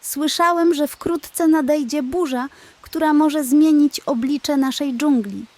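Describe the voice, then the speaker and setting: A woman's voice: sits high, slow, darkish tone, no echo, voice pushed up. A woman voicing a proud, wise male elephant in a children's story, speaking earnestly and emphatically. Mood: urgent warning.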